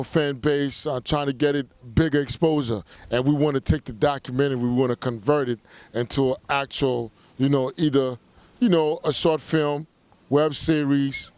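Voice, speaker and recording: Middle-aged man, clear resonant voice, casual promotional talk, emphatic check-ins, dry close sound. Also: on the high side, no echo. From Haiti, raised in Brooklyn, New York. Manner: conversational, emphatic, repeats check-in tags. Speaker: documentary filmmaker.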